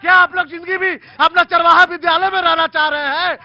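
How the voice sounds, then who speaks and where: Very high-pitched teenage student leader. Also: male voice, little pitch movement, fast talker. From Bihar, India. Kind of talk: impassioned political argument. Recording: muffled outdoor sound among a crowd.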